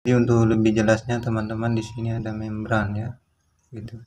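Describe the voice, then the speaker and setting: A man giving a hands-on repair tutorial, explaining in a plain, unhurried way with frequent fillers. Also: lowish voice, monotone, a little mellow, slight background noise. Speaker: small engine repair instructor.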